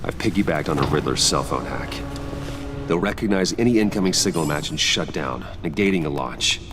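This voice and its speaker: A man playing a grave, determined vigilante detective in a video game, speaking in measured, terse English. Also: extremely low pitch, expressive. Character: grave, determined adult male vigilante detective, low and controlled. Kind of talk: dramatic video game acting. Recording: clearly noisy game audio.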